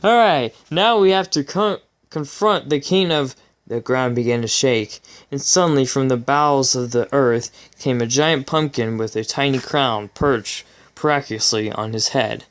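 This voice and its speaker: Clear-voiced man reading fan fiction casually. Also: medium pitch, moderate pace. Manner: informal, amused, stumbling over words. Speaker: channel host.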